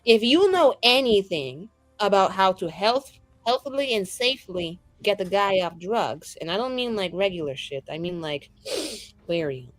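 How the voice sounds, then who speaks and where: A woman's voice: mid-range voice, sing-song, measured pace, no echo, faint music behind, low-quality recording. A woman playing a brash, affectionate, sweary heroine in a fantasy tabletop game, chatty and rambling. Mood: coaxing.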